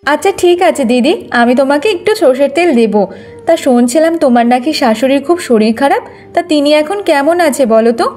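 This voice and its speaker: A woman's voice: high-pitched, lively intonation, normal speed, a little mellow, soft background music. A woman voicing a talkative, aggrieved young village wife in a moral-story cartoon, with a plaintive way of talking. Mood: friendly chat.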